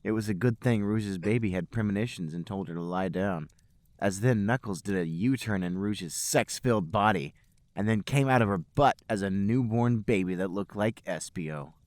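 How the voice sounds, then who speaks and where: A man's voice: lowish voice, moderate pace, a little mellow, no echo. A man, a podcast host, reading fan fiction aloud as a storybook-like narration.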